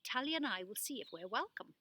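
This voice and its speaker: A woman voicing an earnest young girl in a storybook, with an expressive, emphatic delivery. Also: on the high side, fast talker, a little hiss or hum. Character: earnest young girl fleeing with her bear. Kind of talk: storybook character voice.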